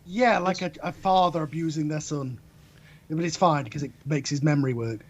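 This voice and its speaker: A man's voice: on the high side, moderate pace. A man on a podcast panel joking casually with a sarcastic, incredulous manner.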